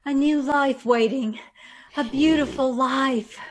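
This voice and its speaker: A woman playing a vain, intense woman in an old-time radio drama, speaking deliberately with dramatic pauses. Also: high-pitched, fairly even intonation. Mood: awed. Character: vain, intense woman reborn with a beautiful new body.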